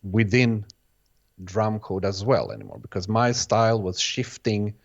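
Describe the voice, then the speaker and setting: Guest: native Bosnian-speaking man, lowish voice, halting and reflective, on a dull lo-fi recording. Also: lively intonation, gravelly. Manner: hesitant, restarts phrases, many "sort of" hedges. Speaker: guest, electronic music producer. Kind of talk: casual interview answers.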